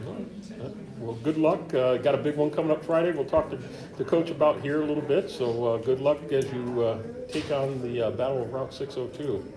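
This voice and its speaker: A man's voice: medium pitch, fairly quick. Chatty man host in an echoey gym. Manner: chatty, rambling questions with fillers. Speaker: local sports show host. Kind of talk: casual sports interview. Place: high school gym. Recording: echoey gym with crowd noise.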